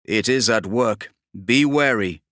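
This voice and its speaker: A man's voice: lowish voice, slow, a bit bright, no echo, clean studio sound. A man voicing a grim, scornful male sorcerer who gives curt warnings in an audiobook reading.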